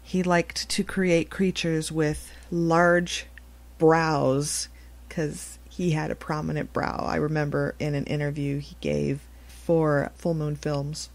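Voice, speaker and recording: A woman with a low voice delivers a solemn announcement as a podcast host. She speaks very slowly and evenly, halting now and then between phrases. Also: flat delivery. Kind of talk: solemn news-style obituary announcement.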